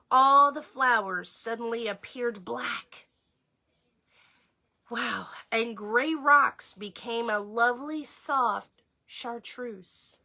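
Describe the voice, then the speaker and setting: A woman reading a children's story aloud as host, in an expressive storytelling style. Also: on the high side.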